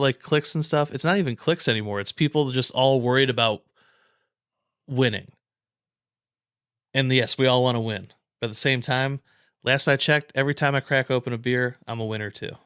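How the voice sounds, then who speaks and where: A man's voice: mid-range voice, pushed and bright, smooth, dry recording, studio quality. A man co-hosting a casual sports podcast, ranting with blunt, emphatic, profanity-laced talk. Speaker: podcast co-host.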